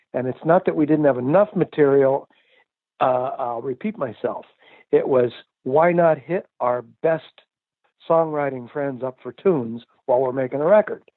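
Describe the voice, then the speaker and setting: A man's voice: on the high side, lively intonation, a little slow, no echo. An older male musician answering interview questions in a reflective, chatty way with frequent laughs and fillers. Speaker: musician guest. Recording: tinny phone line.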